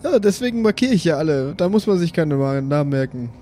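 A man's voice: on the high side, lively intonation, fast talker, mellow, slight background noise. A man improvising casual table chat as a player in a tabletop role-playing game.

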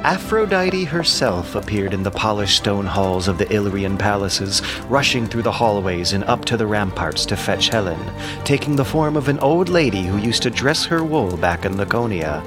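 A man narrating an epic audio drama in a measured, theatrical storytelling manner. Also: lowish voice, animated, music in the background.

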